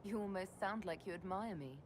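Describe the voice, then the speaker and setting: A woman's voice: medium pitch, brisk, background noise, close to an everyday voice. An actress voicing a video game's player character, a woman who speaks in a dry, wry and modest way. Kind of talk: video game dialogue.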